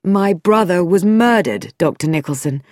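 A woman voicing a bitter grieving gentleman. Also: on the low side, animated, unhurried, dry recording, clean studio sound. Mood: angry. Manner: firm, bitter. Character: angry grieving man of the gentry.

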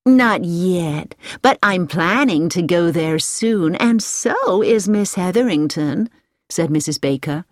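A woman voicing a pleasant, sociable middle-aged American lady with an American accent who talks slowly and asks questions in an audiobook. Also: on the low side, animated, no echo, clean studio sound. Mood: friendly. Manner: slow, inquisitive and sociable.

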